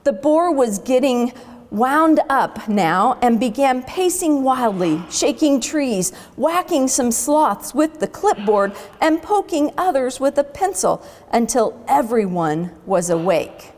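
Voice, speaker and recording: A woman storyteller reading a children's book aloud expressively, with dramatic pauses between phrases. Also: sits high, lively intonation, darkish tone, big-room sound.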